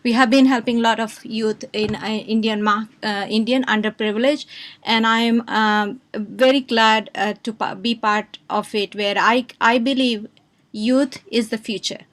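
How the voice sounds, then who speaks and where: A woman with a fairly high voice moderates a panel over a video call. She speaks haltingly, with frequent "um"s and restarts as she introduces the session. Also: fairly even intonation, a bit bright. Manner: halting, many fillers and restarts.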